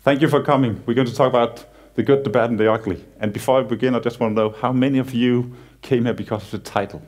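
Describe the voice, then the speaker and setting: A man in his thirties with a Danish accent, giving a relaxed conference talk in a cinema hall with dry jokes and halting restarts. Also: mid-range voice. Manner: relaxed, self-deprecating humor with frequent halting restarts. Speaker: conference speaker. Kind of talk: conference talk, casual and joking. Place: large cinema hall on stage.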